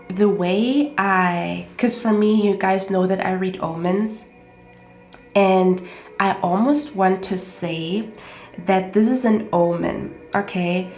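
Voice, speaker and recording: Woman tarot reader with a stuffed-up nose, repetitive and reassuring, frequent "okay?" check-ins, echoey room with soft music. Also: mid-range voice. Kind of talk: casual tarot reading monologue. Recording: roomy echo with faint music.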